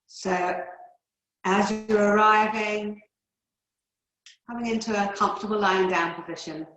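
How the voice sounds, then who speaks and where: Calm woman guiding relaxation in an echoey room. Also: medium pitch. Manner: calm, soothing, unhurried with long pauses. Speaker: yoga teacher. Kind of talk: guided relaxation and breathing instruction. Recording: roomy, echoey indoor sound.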